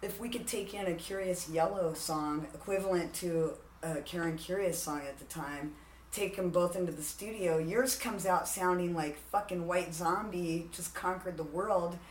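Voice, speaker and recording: A man with a raspy voice, deepened by testosterone, talking candidly and animatedly as a musician guest in a casual interview. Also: normal speed, roomy sound, a little hiss or hum. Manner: candid, animated, frequent "like" fillers.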